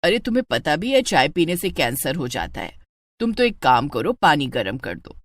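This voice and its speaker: Woman voicing a low-pitched stingy mother-in-law. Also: very animated, normal speed, no room sound. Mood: bossy, flustered. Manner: bossy, coaxing. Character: stingy, fussy middle-aged mother-in-law, nagging and penny-pinching.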